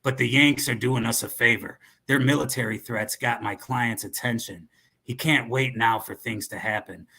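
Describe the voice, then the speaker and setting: A man's voice: on the low side, moderate pace, gravelly, bright, forceful tone, husky, full character voice, poor audio. A man voicing a tough, guarded criminal arms dealer in an amateur read-aloud of a text exchange. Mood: scheming, confident. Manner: guarded, menacing criminal talk.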